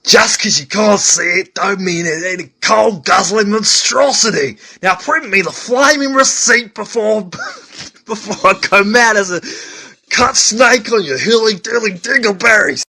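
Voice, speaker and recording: A man's voice: high-pitched, a little slow, gravelly, sharp tone, slightly rough, full character voice. A man playing an exasperated, blustering customer who rants with colourful slangy insults. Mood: furious.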